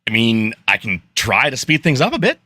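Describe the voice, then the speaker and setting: A man's voice: medium pitch, very animated, normal speed, pushed and bright, no room sound, clean studio sound. A man playing a practical, helpful starship engineer in a fan radio play. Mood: apologetic, helpful.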